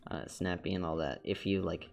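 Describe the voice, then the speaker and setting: A man's voice: low voice, fairly even intonation, brisk, creaky, soft background music. A young man with a congested, nasal voice chatting casually as a streamer while he animates, rambling with repeated words and laughs.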